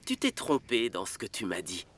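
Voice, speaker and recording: An actor playing a brave, kind father bear in an animated film, gentle, reassuring and expressive. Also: on the low side, moderate pace, a little hiss or hum. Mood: tender. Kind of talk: dubbed animated film acting.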